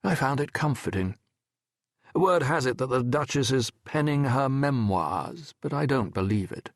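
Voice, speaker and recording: A man narrating an audiobook as a wry, reflective French medical professor telling his memoir in a measured, erudite, wistful way. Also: medium pitch, lively intonation, no room sound, clean studio sound. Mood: wry, musing. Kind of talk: scripted first-person literary narration.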